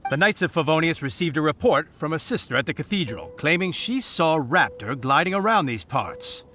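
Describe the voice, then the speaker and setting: A man's voice: on the high side, lively intonation, brisk, bright, forceful tone, a little hiss or hum. A man voicing an officious, by-the-book knight in a video game, formal and declamatory. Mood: explaining.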